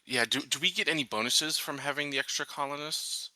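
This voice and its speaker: A man's voice: on the high side, fairly quick, pushed and bright, dry recording, rough recording. A man playing a tabletop roleplaying game, casually putting questions to the game master.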